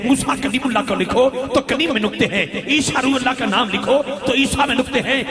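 High-voiced man preaching over echoing crowd. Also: brisk. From Pakistan. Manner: rhythmic rising chant-like delivery. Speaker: naqabat presenter at a religious gathering. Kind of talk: devotional oratory leading call-and-response. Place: large decorated open-air gathering in a bazaar. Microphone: handheld stage microphone held close. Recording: echoey public address before a large crowd.

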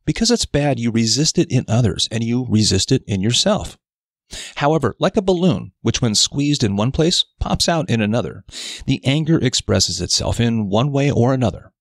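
A man reading a scripted self-help article as narrator, in a measured, deliberate, instructive way. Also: mid-range voice, animated, no room sound, clean studio sound.

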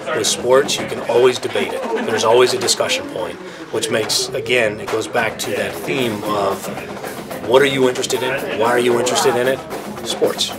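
Man interviewed over loud music. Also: medium pitch, steady tone, normal speed. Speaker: sports radio program director. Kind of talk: interview talk. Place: indoor room, documentary interview with music behind.

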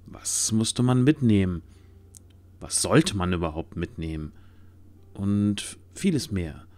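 A man's voice: low-pitched, animated, slow pace, a little mellow. A man narrating a fantasy audio drama in scripted storybook style.

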